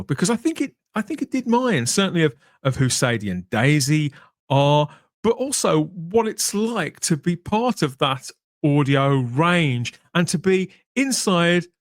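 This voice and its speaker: Man, podcast host, slightly high voice, chatty, wry, casual delivery, dry sound. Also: dry recording.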